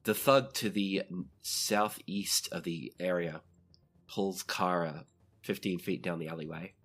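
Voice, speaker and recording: A man's voice: low voice, measured pace, fairly bright tone, slightly rough. A man running a tabletop roleplaying game as game master, narrating the action off the cuff and putting questions to the players.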